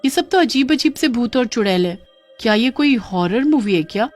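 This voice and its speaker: Woman playing a high-voiced headstrong young girl. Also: lively intonation, moderate pace. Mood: curious. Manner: exclaiming, childlike. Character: headstrong movie-mad young girl, boastful yet easily frightened. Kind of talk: Hindi cartoon voice acting. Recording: music under the voice.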